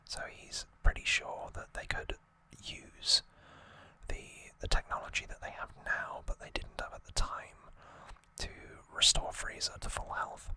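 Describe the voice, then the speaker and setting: Bright, breathy ASMR whisperer. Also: measured pace, dry recording, rough recording. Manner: hushed, conversational explaining. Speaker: ASMR host recapping an anime series.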